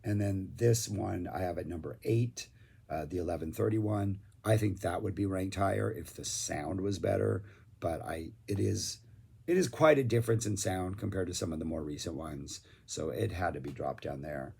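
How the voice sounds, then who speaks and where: Hoarse male music reviewer, raspy and husky, casual rambling delivery. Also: deep voice, moderate pace, darkish tone. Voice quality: gravelly, raspy. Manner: rambling, enthusiastic, lots of fillers. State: losing his voice, hoarse.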